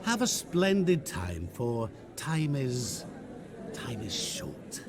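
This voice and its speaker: Game villain: a silky, mocking wish-trader, slow and sing-song. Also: male voice, mid-range voice, very animated, very noisy. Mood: sly. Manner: silky, teasing, theatrical. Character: sly, mocking male trader of wishes. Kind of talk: video game cutscene acting. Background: music.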